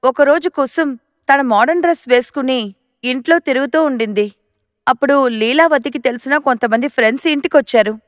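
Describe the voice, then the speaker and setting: A woman's voice: high-pitched, a little fast, no room sound, studio quality. A woman narrator reading a scripted moral story.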